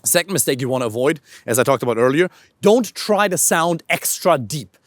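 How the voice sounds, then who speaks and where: A man's voice: a little high, animated, fairly quick, no room sound, clean studio sound. A man coaching other men on how to use their voice, talking to camera while walking outdoors, emphatic and casual, with the odd swear word. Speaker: dating coach.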